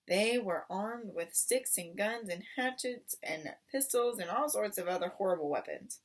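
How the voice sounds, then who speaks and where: A woman's voice: fairly low pitch, distant mic. A woman reading a children's book aloud as a storyteller. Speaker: storybook reader.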